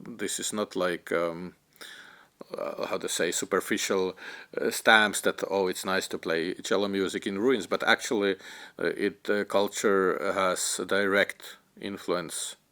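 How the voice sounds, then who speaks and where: A young man with a very low voice and a clean, smooth tone, talking in a documentary interview. His Ukrainian-born, Finland-raised speech is halting, full of "uh"s and restarts while he hunts for the right English word. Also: steady tone, sharp tone. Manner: halting, many fillers and restarts, searching for words. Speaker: interviewee, cellist and filmmaker.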